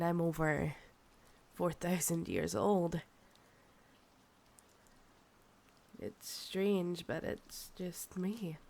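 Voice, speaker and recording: A Scottish woman voicing an ancient, insatiable female vampire in an ASMR roleplay, coaxing and sighing, breathy and often dropping to a whisper. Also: lowish voice, heavily acted. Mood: savouring, intimate.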